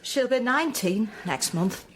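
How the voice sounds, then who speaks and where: Distraught religious mother, radio drama, high swooping voice, halting, anguished. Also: animated, noisy background. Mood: bitter grief. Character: distraught, devout mother of a runaway daughter.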